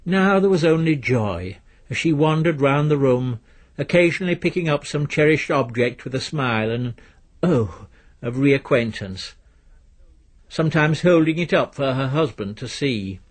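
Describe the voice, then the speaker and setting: A man reading a literary story as narrator in a measured, dry storytelling manner. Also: mid-range voice, expressive. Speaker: narrator, reader.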